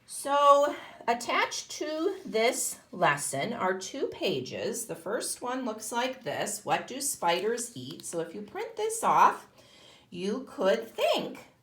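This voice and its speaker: A woman's voice: a little high, huge pitch swings, slow, a bit bright. A woman kindergarten teacher giving a read-aloud lesson to young children, explaining in simple, emphatic phrases. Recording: echoey room.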